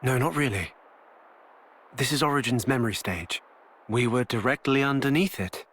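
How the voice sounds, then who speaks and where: An actor playing a grave, knowledgeable veteran hero in a video game cutscene, speaking deliberately. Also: male voice, mid-range voice, a bit bright, lightly acted. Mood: solemn. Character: solemn, knowledgeable veteran male hero explaining grave things.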